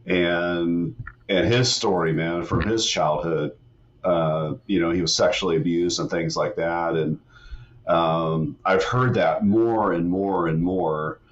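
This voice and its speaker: A middle-aged man from West Virginia, a retired soldier speaking as a podcast guest in a laid-back, halting way. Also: low-pitched, steady tone, lo-fi sound. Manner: laid-back, frequent "man" and "you know", halting restarts. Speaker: guest, retired Army master sergeant and author. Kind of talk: casual podcast interview.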